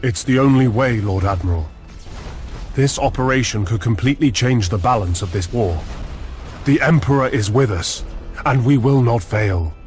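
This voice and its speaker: An actor playing a loyal, determined admiral in a video game cutscene, speaking in formal, earnest military fashion. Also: male voice, medium pitch, normal speed, natural voice. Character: loyal, determined male admiral serving a space empire. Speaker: subordinate fleet officer. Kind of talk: scripted dramatic game cutscene acting. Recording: music behind the voice.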